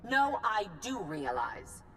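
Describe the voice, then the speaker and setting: An actress playing an imperious, hawkish chancellor who speaks in a cutting, commanding way in a game cutscene. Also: female voice, mid-range voice, big pitch swings, slow pace. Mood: angry, commanding. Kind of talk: video game cutscene acting. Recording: faint background noise.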